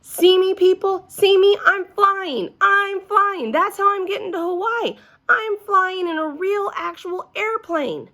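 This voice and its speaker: An excitable little first-grade girl from a storybook, acted out by a woman. Her voice is pitched up, and she talks with blunt, bossy emphasis, repeating words to make her point. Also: moderate pace. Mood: excited, boastful. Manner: emphatic, repetitive, childlike bluntness.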